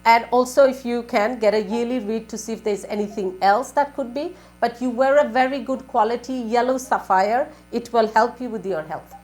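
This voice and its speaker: A woman's voice: a little high, normal speed, few pauses, a little mellow. A woman working as a spiritual advisor on a live call-in show, giving advice in a repetitive, questioning way.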